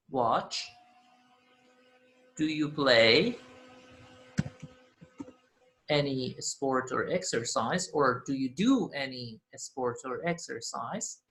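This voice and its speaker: A man's voice: a little high, a bit bright. A man teaching an English class, repeating model phrases and example answers. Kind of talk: classroom lesson. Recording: roomy echo.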